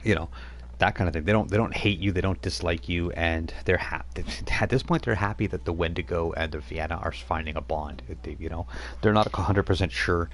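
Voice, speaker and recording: A man's voice: low-pitched, steady tone, fairly quick. A man running a tabletop role-playing game as game master, narrating in a rambling way with many fillers.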